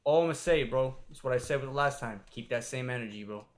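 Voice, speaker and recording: A man's voice: medium pitch, quick pace. A man co-hosting a sports podcast, talking loosely with lots of filler and swearing. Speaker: podcast co-host. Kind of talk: casual sports chat.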